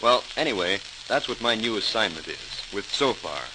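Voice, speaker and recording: An actor playing a cheerful, earnest young Navy lieutenant in a 1940s radio drama. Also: male voice, lowish voice, expressive, moderate pace, lightly acted. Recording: hissy, crackling 1940s radio recording.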